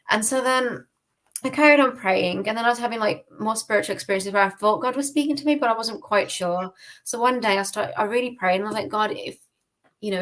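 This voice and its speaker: A British woman speaks at a middle pitch as a podcast guest. She tells her own story conversationally, in an informal way with "like" sprinkled through it. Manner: informal, peppers speech with "like". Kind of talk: conversational personal testimony.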